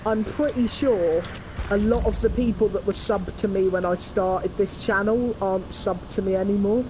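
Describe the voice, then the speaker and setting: A man's voice: high voice, steady tone, moderate pace, background noise. A man with an English accent chatting casually with friends during an online game. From England. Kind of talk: casual gaming chat.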